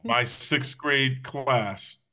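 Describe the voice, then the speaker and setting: A middle-aged man from Connecticut answering interview questions on a video call, measured and thoughtful. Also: mid-range voice, sharp tone. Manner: measured, thoughtful, some restarts. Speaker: guest, public safety official. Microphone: video call webcam.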